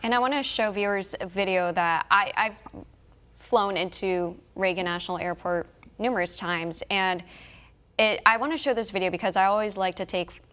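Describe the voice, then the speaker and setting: Brief: female news interviewer, American, medium pitch, conversational with firm emphasis. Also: normal speed, a bit bright.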